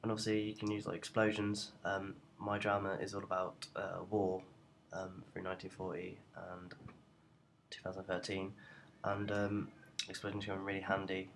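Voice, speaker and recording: A young adult man giving an informal, unscripted software tutorial in a hesitant, rambling way, as a presenter walking through each step. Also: low-pitched, monotone, normal speed, creaky. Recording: noticeable background noise.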